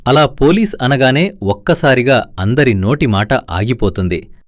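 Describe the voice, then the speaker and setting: A man narrating a cartoon family moral story in a lively storytelling way. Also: medium pitch, measured pace, no echo, studio quality.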